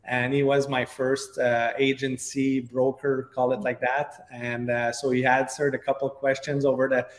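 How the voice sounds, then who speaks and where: A middle-aged man from Quebec with a French Canadian accent, a native French speaker, tells his story in a conversational interview with halting phrasing. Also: medium pitch, level tone. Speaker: podcast guest, real estate agent. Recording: echoey, reverberant room.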